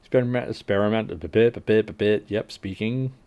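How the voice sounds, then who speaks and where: A man with a fairly low voice talks through game update notes in a loose, livestream way. He reads the notes, stumbles over numbers with fillers and restarts, then drops dry little asides that fade to a mutter. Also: fairly quick, smooth. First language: English. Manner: reads notes, then adds wry asides that trail off quietly; frequent fillers and restarts while working out numbers. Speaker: livestream host. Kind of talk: casual commentary reading game update notes aloud.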